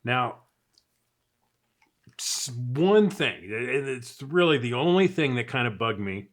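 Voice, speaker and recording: A man's voice: medium pitch, expressive, slow. A man giving a casual, unscripted product review in a chatty, conversational way, as if thinking aloud. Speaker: product reviewer, channel host.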